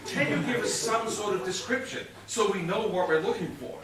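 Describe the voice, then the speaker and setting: A man playing an exasperated police inspector in a comic stage whodunit, questioning in a dry, brisk, officious way. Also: sits high, crowd noise, close to an everyday voice. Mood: dry, probing. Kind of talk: comic stage play acting. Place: stage of a small theatre. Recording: distant echoey theatre recording, faint noise.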